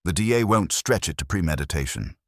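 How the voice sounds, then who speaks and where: A direct, wry investigator's right-hand man from an audiobook mystery, acted by a man. He talks colloquially and probes with lively inflection in a very low, husky voice. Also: brisk, no room sound, clean studio sound, exaggerated voice. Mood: probing. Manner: colloquial, probing. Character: wry, direct male detective's assistant.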